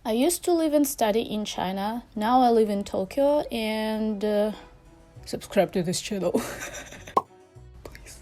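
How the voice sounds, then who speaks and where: A woman's voice: fairly high pitch, lively intonation, mellow, faint music behind. A young Russian woman speaking English with a Russian accent, chatting playfully in a casual vlog. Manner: chatty, playful, quick laughs. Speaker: vlogger. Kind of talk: casual talking-head vlog.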